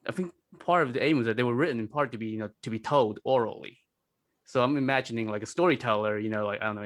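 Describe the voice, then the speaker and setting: A man from China speaking as a podcast panelist, in a halting, tentative way with many fillers. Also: mid-range voice, fairly bright tone. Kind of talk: casual panel discussion.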